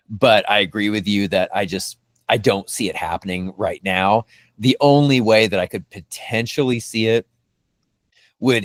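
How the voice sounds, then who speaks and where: A man's voice: on the low side, dry recording. A man co-hosting a podcast in casual, speculative discussion, rambling with lots of "you know" and "like". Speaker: podcast co-host.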